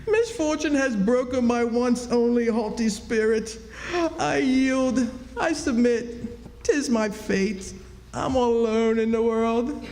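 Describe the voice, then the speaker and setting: Man playing a high-voiced, grandiose phony duke. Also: takes it slowly, darkish tone, exaggerated voice. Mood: wounded, melodramatic. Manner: grandiose, florid stage declamation. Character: theatrical, self-pitying con man posing as a duke. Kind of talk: stage radio drama acting. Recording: echoey hall stage performance, music behind.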